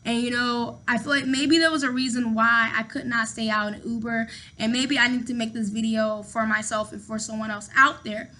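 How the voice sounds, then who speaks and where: A woman's voice: fairly high pitch, flat delivery, moderate pace, few pauses, bright, forceful tone. A woman in her thirties talking casually to camera at home, chatty and rambling, often repeating her words. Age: 30s. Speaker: vlogger.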